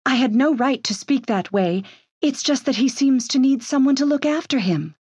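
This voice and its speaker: An actress playing a sweet, adoring young secretary, demure and tender, in a comic-book drama. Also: female voice, sits high, animated, moderate pace, a little mellow, breathy edge, no room sound. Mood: tender, pitying. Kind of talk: dramatized comic-book read-along acting.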